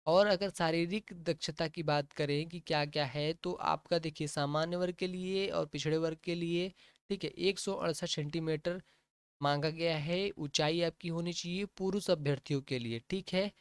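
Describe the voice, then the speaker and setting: A man with a fairly high, clean and resonant voice, recorded close and dry with no room sound. He explains exam rules step by step like a coaching teacher and keeps checking that his students follow. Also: normal speed, clean tone, dry recording. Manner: plain, repetitive explaining with frequent "ठीक है" checks. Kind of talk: explaining a job notification on screen.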